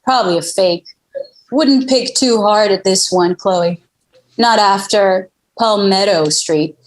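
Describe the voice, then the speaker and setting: A woman voicing a gruff, dismissive alpha-dog male police detective at a table read of a TV crime drama. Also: medium pitch, lightly acted, low-quality recording. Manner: offhand, dismissive, unhurried.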